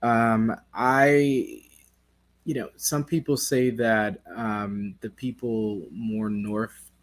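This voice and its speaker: A man's voice: lowish voice, little pitch movement, very slow. A young man, a guest in a casual interview, talking in a hesitant way with many fillers.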